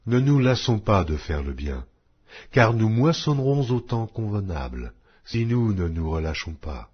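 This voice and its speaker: A man reading scripture aloud in a slow, solemn, measured way. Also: lowish voice, very animated, slightly rough, muffled recording. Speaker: Bible reader.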